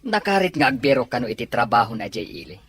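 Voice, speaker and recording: A man's voice: medium pitch, big pitch swings, fast, fairly bright tone, slightly rough, no room sound. Man acting irritable jobless father, dry sound. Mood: defensive. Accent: Ilocano. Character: irritable, jobless husband and father, quick to blame. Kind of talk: Ilocano radio-style drama acting.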